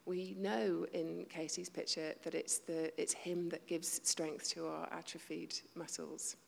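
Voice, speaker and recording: A woman with a fairly low, gravelly voice preaches to her congregation. She talks in a conversational way, pokes fun at herself, and sometimes drops into a near-whisper. The sound rings out with a long reverb, as in a big church hall. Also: flat delivery, measured pace. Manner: conversational, self-deprecating, with hushed asides. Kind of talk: sermon.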